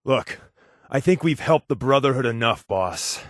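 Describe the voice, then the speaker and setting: A man's voice: medium pitch, lively intonation, measured pace, fairly bright tone, no echo. A male game companion, a secret agent with a glib, sardonic streak. He talks colloquially in a middle register, wary but loyal to his partner. The sound is dry, with no room around it. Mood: worried, serious. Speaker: video game companion character. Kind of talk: scripted video game character dialogue.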